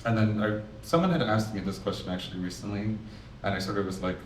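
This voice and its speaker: Deep-voiced nervous man in an echoey gallery. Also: slight background noise. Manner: nervous, halting with many fillers. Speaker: exhibiting artist on a panel. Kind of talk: panel artist talk. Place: art gallery. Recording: echoey gallery, mic far off.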